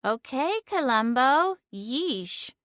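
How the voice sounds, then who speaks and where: A woman voicing a sassy female talking cat with a wry, quick, teasing delivery, heard in a storybook reading. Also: high voice, very animated, fairly bright tone, no room sound, clean studio sound, pitched above the performer's own voice, full character voice.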